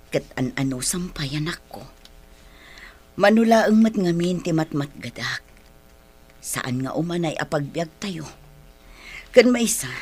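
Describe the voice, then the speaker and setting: Long-suffering Filipino mother, Ilocano accent, low and husky, weary radio drama delivery. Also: a little mellow, full character voice.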